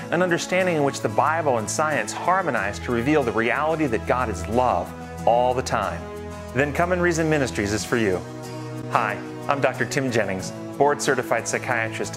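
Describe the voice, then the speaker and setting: A man who is a psychiatrist reading a scripted ministry promo in an earnest way, opening with rhetorical questions. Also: mid-range voice.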